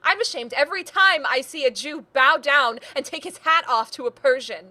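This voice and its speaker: A woman's voice: a little high, expressive, unhurried, pushed and bright, full character voice. A woman acting in a play reading as a proud, bitter young niece with an intense, declamatory manner. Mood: furious, defiant. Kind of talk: theatrical stage play reading.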